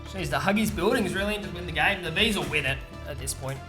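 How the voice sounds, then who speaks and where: A man co-casting a strategy game match, laid-back and filling pauses with agreement. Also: fairly high pitch, music in the background. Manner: laid-back, lots of "yeah" fillers. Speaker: game co-caster. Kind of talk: casual esports commentary.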